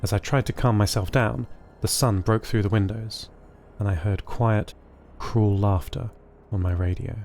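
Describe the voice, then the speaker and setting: A man playing a gentle, anxious sentient computer, narrating its story in a measured, earnest way. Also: fairly low pitch, steady tone, faint music behind, lightly acted. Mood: fearful. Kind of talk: scripted first-person audio drama narration.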